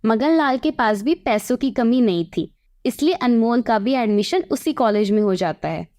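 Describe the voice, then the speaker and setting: A woman's voice: a little high, lively intonation, moderate pace, darkish tone. A woman reading a scripted moral tale as its storyteller.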